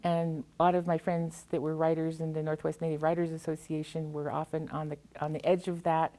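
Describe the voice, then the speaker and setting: American lady poet, voice a bit on the low side, telling stories and reading to people, kind of reflective. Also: flat delivery, brisk, warm tone. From US Pacific Northwest. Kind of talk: anecdotal talk and poetry reading to an audience.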